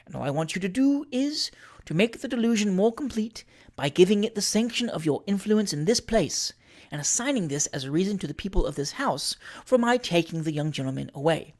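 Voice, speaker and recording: Cool, quiet, scheming lady of forty, as a narrator plays her. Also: medium pitch, animated. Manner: quiet, measured, dry and imperious. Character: cool, scheming, self-possessed buxom rich lady of forty.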